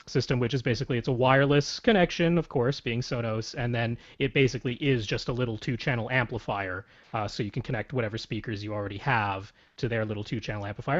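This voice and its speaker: Young man explaining gear with enthusiasm. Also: medium pitch. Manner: enthusiastic, explanatory, laughs easily. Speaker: podcast co-host. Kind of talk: casual podcast chat. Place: small home room. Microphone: large studio mic on boom arm. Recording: slightly dull video-call audio.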